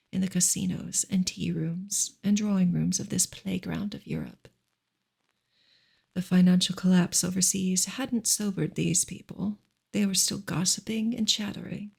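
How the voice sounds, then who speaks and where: Woman doing soft asmr book reading, super breathy, loads of vocal fry, hushed n half whispered. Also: lowish voice. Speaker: ASMR reader.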